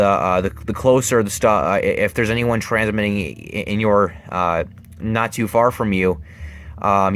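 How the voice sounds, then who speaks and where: Low-voiced man, halting casual demo. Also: a bit bright. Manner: halting, repeats phrases. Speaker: video maker showing a gadget. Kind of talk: casual hands-on demonstration. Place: carpeted room at home. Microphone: handheld camera mic. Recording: noisy home camera recording.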